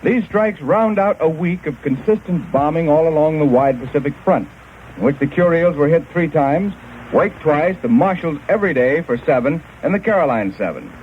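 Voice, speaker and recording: An American man reading a scripted war report for 1940s radio news in a formal, measured manner. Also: a little high, animated. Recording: noisy, narrow-band 1940s shortwave radio recording.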